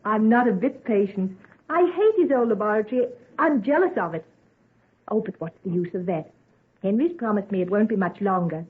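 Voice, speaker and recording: An actress playing an affectionate, earnest young sweetheart in old-time radio drama, effusive in manner. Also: female voice, medium pitch, animated, moderate pace, close to an everyday voice. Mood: wistful, worried. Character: eager, affectionate young woman, fretful about her sweetheart. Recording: tinny narrow old radio recording.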